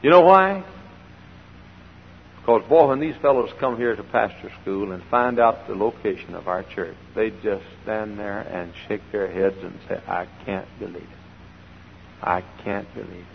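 An older man preaching a sermon from the pulpit with a froggy, gravelly voice, shifting between hollered exhortation and quiet storytelling and repeating phrases for emphasis. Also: fairly low pitch, measured pace, slight background noise. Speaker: pastor. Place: large church sanctuary. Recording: dull old recording in a reverberant church.

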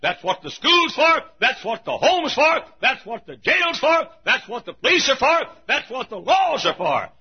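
An older man preaching a sermon in a church, swinging from hushed asides to shouting and chuckling through his lines. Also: very high voice, sing-song, moderate pace, hardly any pauses, husky. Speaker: pastor. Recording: muffled lo-fi recording.

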